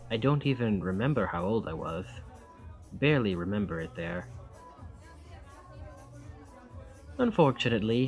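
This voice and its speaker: A man's voice: medium pitch, natural voice. A man acting a charming, friendly young college student in an intimate ASMR roleplay, with a self-deprecating, thoughtful and wry manner. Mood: wry, teasing.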